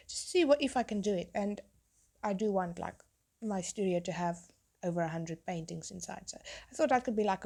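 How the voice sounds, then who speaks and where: A young woman, a tattoo artist, chatting in a rambling way while she draws, her voice hoarse from being sick. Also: mid-range voice, darkish tone. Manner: rambling, chatty, self-interrupting. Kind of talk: casual chat while drawing.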